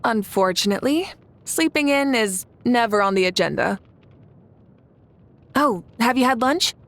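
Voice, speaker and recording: A woman voicing a confident, warm warrior leader in a video game, speaking with composed, articulate assurance. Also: on the high side, lively intonation, measured pace. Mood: calm, reflective. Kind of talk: English video game character voice lines.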